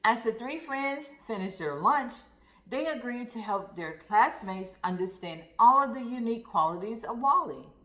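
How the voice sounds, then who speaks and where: A woman reading a story aloud to children in an expressive, deliberate manner, speaking directly to young listeners. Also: fairly high pitch. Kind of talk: read-aloud storytime narration. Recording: roomy, echoey sound.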